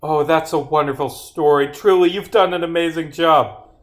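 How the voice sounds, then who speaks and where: A man's voice: fairly high pitch, steady tone, moderate pace, echoey room, close to an everyday voice. A man voicing a smug, theatrical female murderer who gloats and taunts in a visual novel game.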